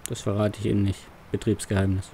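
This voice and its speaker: Grumbling low-voiced gamer. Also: male voice, level tone, fast talker, warm tone, a little hiss or hum. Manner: grumbling, muttering to himself. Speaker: farmer roleplayer, let's player. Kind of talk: roleplay commentary.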